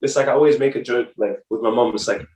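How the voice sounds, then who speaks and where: A man's voice: mid-range voice, fairly even intonation, fast, a little mellow. A young man from Zimbabwe, a guest chatting casually and laughing often, repeating his phrases. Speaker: guest, rugby player. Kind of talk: casual conversation. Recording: echoey room, distant mic.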